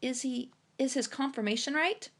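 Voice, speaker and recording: A woman's voice: sits high, moderate pace, fairly bright tone, voice pushed up. A woman voicing an eager, shy young boy with a hesitant, questioning way of speaking. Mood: eager, curious.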